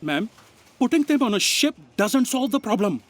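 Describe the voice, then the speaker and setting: A woman's voice: on the high side, sing-song, normal speed. A woman playing a sardonic, put-upon office aide in a comic sci-fi audio drama, sarcastic and exasperated. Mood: worried.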